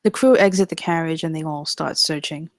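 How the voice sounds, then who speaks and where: A woman's voice: low-pitched, fairly quick, dry recording. A woman narrating the stage directions at a screenplay table read in a scripted style.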